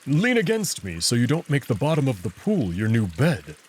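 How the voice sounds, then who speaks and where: Man voicing a husky, brawny, reserved beast-man. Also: mid-range voice, huge pitch swings, normal speed, darkish tone. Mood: gently caring. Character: big brawny male furry alien, reserved, protective.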